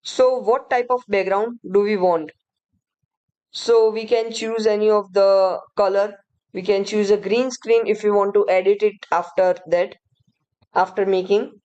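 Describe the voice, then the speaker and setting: Woman explaining a software tool. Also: mid-range voice, steady tone, measured pace, clear, resonant tone, lo-fi sound. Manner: chatty, explains step by step with fillers. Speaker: course instructor. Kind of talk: screen-recorded software tutorial.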